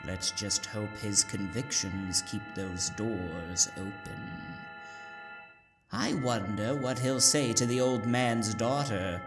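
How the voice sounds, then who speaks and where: A man's voice: on the low side, slow pace. A man hosting an audio drama anthology, delivering a scripted closing narration. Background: music.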